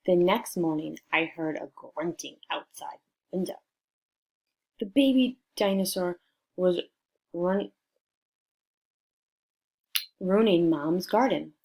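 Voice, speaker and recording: Woman, slightly low pitch, unhurried, expressive children's read-aloud, prompting young listeners. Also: creaky, breathy edge. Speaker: storybook reader for children.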